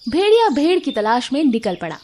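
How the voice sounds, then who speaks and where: Female narrator for children's fables, fairly high voice, faint birdsong and noise behind. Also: very animated, fairly quick, a little mellow. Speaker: story narrator. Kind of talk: scripted children's moral-story narration.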